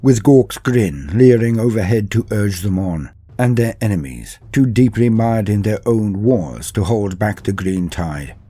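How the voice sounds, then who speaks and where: A man giving a measured, dramatic scripted narration of a fictional history. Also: lowish voice, animated, dark tone, slightly rough, dry recording, faint music behind. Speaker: lore narrator.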